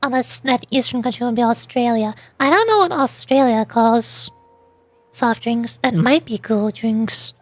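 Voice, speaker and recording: A woman's voice: a little high, unhurried, no room sound. A woman streamer chatting casually with viewers, thinking aloud hesitantly, stammering over repeated words and putting herself down a little. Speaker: streamer, VTuber. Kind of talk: casual livestream chat with viewers. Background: background music.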